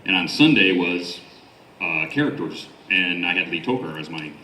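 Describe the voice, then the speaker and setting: A man speaking casually as a panelist at a convention, deliberate in his phrasing and often repeating himself. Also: deep voice, lively intonation, bright, forceful tone, a little hiss or hum. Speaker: panelist posing questions, new voice actor. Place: large indoor convention panel room. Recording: distant mic, echoey room, faint crowd.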